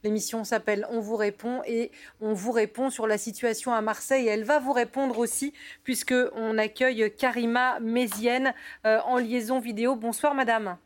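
A woman's voice: on the high side, fairly even intonation, moderate pace. Chatty female TV co-host. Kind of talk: live talk show presenting.

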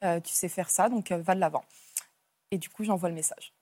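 A woman's voice: lowish voice, brisk, a little mellow, no echo. A young adult woman, casually telling a personal story as a talk show guest. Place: TV studio.

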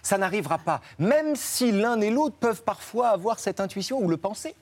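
Animated man, lively pitch, studio talk. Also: moderate pace. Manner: animated, quotes people in put-on voices. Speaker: author guest. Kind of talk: studio discussion.

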